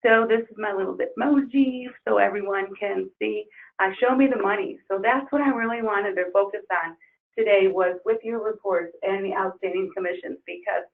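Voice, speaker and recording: A woman trainer losing her voice, leading an upbeat software webinar walkthrough. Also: mid-range voice, vocal fry. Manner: upbeat, enthusiastic promoting. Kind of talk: webinar screen-share walkthrough. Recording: tinny phone-like webinar audio.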